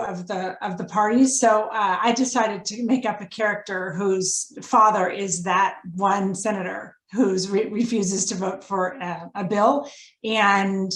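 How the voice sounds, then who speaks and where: A woman chatting casually as a guest author, with a roomy, echoing sound. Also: medium pitch. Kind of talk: casual chat.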